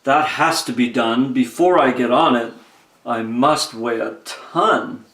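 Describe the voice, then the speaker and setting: Man playing a slow, earnest storybook elephant. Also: mid-range voice, fairly bright tone, roomy sound. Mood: thoughtful. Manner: deliberate, earnest. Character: huge, gentle, faithful male elephant, earnest and dutiful.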